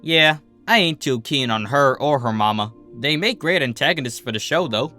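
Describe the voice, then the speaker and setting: A man voicing a steady, affectionate, teasing female farmer pony. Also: mid-range voice, normal speed, faint music behind. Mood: easygoing.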